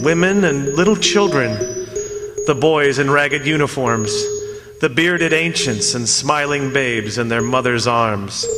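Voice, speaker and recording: A man acts out a condemned man caught among the dead, in the manner of old radio theatre. He is frightened and dramatic, with a solemn, poetic turn of phrase. His mid-pitched voice rings in a long reverb over loud music. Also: lightly acted. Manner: dramatic, halting pleas and poetic, solemn narration. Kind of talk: old-time radio theatre acting and first-person narration.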